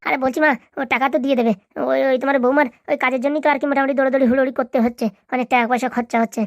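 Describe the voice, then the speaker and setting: A woman's voice: sits high, little pitch movement, racing, no echo, clean studio sound. A woman voicing a brash, harried grown son in a comedy cartoon, impatient and dismissive. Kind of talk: Bengali comedy cartoon dialogue.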